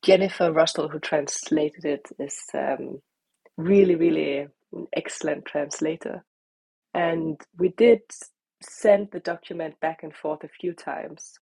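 Danish lady author, deep-ish breathy voice, dry sound, thinks out loud n restarts. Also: unhurried, no room sound, low-quality recording. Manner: thoughtful, searching for words, restarts. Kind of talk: interview answers.

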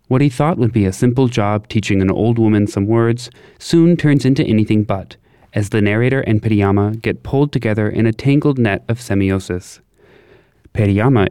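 A man hosting a podcast with a measured, polished delivery, reading a scripted introduction and then asking interview questions. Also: fairly low pitch, warm tone, clean tone, no room sound, clean studio sound.

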